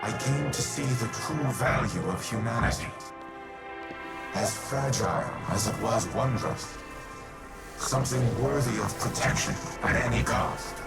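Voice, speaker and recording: An actor playing an ancient, grave and remorseful artificial war mind in a video game, speaking in solemn, measured, formal monologue. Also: male voice, mid-range voice, vocal fry. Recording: loud music under the voice.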